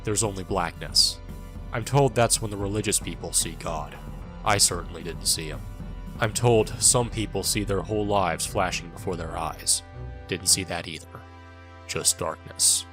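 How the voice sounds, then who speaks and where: Audio drama casting: male actor as wounded young soldier narrating, very low voice, somber and wry, over music. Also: moderate pace, close to an everyday voice. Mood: wry, bleak. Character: bitter wounded young male soldier recounting his war.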